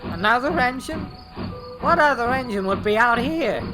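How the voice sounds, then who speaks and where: An actor playing an excitable, easily frightened companion of a tank engine in an amateur audio drama, urgent and exclamatory. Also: mid-range voice, moderate pace, lightly acted, poor audio. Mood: curious.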